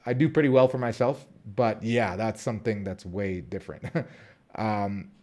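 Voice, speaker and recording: A man's voice: fairly low pitch, moderate pace, a little mellow. A man, talking casually to the camera and restarting his sentences often. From Colombia, raised in Southern California. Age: 30s. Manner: rambling, restarts sentences, frequent fillers. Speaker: vlogger. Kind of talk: casual unscripted talk to camera.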